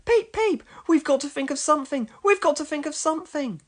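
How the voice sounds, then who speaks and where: A man voicing a cheeky, fussy, eager little train engine in a children's storybook, excitable and pleading. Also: very high-pitched, brisk, voice pushed up. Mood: fretting.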